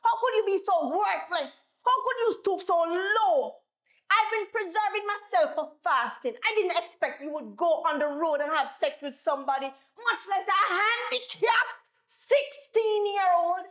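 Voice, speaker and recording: A woman's voice: very high-pitched, normal speed, a bit bright. A woman playing an emotional, churchgoing wife who talks in religious phrases. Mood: furious. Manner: pleading, then furious.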